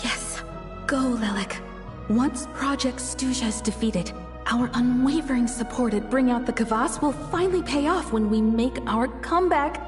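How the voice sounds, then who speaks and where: An actress playing a theatrical, scheming tabloid reporter in a video game, dramatic and insinuating. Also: female voice, a little high, normal speed, music in the background, natural voice. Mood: gloating glee. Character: theatrical, gossip-hungry, gleefully scheming female tabloid reporter.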